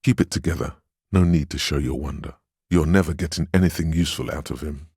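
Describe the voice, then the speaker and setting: An actor voicing a stern, steadfast inner voice of willpower in a video game, measured and dryly sardonic as he admonishes. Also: rumbling low, darkish tone, slightly rough, no echo, studio quality, heavily acted. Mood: stern, urging. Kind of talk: scripted second-person game narration, inner voice.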